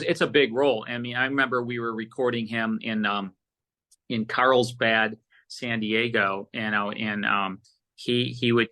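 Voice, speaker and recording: A man with a bright, forceful voice at an ordinary male pitch, talking as a guest in a casual interview. He tells rambling, animated stories, restarting sentences and quoting conversations as he goes. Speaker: interview guest, audio drama producer.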